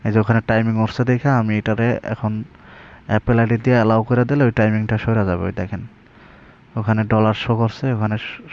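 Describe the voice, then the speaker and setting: A man with a Bangladeshi accent giving a casual, colloquial tutorial and sales talk, often checking in with his listeners. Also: medium pitch. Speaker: online seller and video presenter. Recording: slightly dull, lo-fi audio.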